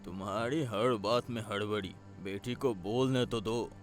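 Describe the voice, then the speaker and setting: A man voicing a calm, supportive middle-aged father in a Hindi cartoon story. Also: lowish voice, a little slow, faint music behind, lightly acted. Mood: reasoning.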